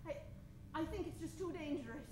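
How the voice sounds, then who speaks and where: An actress playing a plucky, anxious young adventuress in breathless, hesitant old-time radio melodrama. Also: female voice, high-pitched, lively intonation, moderate pace, a little mellow. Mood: dramatic worry. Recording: roomy stage recording, music underneath.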